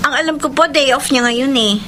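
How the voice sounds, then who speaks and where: High, sharp Filipino jilted woman. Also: fairly quick, slight background noise. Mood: anxious, probing. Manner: intense, defiant. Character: obsessed, stubborn jilted young woman. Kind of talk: Filipino radio drama acting.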